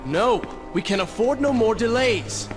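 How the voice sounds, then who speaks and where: A man's voice: fairly high pitch, lively intonation, normal speed, lightly acted. A man playing a brooding, vengeful young warrior prince in a video game, with a grave, theatrical and formal delivery. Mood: impatient. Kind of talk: dramatic video game voice acting. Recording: loud game music over the voice.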